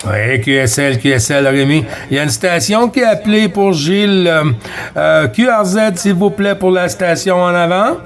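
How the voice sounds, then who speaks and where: A man's voice: high voice, moderate pace, crowd noise. A man with a Quebec French accent chatting casually as a CB radio operator, making contacts over the air. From Quebec, Canada. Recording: noisy radio static, clicks.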